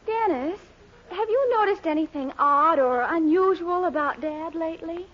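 Very high-voiced, worried young American woman. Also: expressive, moderate pace. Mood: worried, suspicious. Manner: earnest, dramatic, insistent. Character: worried, bossy young woman, a suspicious daughter. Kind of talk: 1940s radio sitcom acting. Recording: muffled 1940s radio recording, studio audience.

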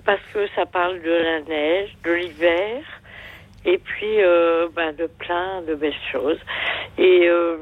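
Caller: woman, 60s, slow, meandering talk full of fillers, dull phone-line sound. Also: on the low side, fairly even intonation, dry recording. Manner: rambling, hesitant, many fillers. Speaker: radio caller. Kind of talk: phone-in chat.

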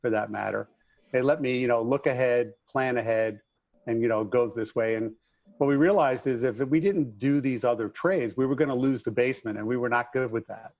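A middle-aged man with a slight New York accent tells his story in a chatty, folksy manner, often using the host's name. His voice sits at a middling pitch and comes through a slightly dull, remote-sounding line. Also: fairly quick, a little mellow. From Long Island, New York. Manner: upbeat, folksy, addresses host by name. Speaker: guest, trades business owner. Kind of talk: casual interview chat.

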